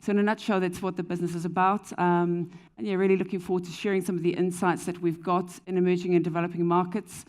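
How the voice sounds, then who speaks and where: A woman's voice: fairly low pitch, flat delivery, quick pace, few pauses, a little mellow. A woman with a New Zealand accent, speaking as a panelist on a conference stage, fluent with frequent "um" fillers. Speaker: panelist, company founder. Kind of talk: panel discussion answer. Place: conference stage before an audience.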